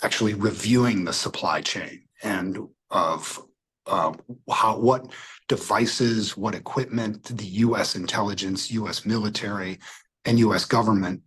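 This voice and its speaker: A man with an American accent and a husky voice, a security expert giving hesitant, measured interview answers. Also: on the low side, a bit bright.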